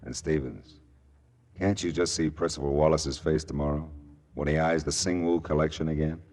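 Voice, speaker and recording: Low-voiced wry radio private eye. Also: male voice, fairly even intonation, normal speed, a little mellow. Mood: frank, shrewd. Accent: American. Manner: hard-boiled, wry, knowing. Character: wry, shrewd, world-weary male private detective. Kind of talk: 1940s-50s American radio detective acting. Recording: vintage radio drama recording with music.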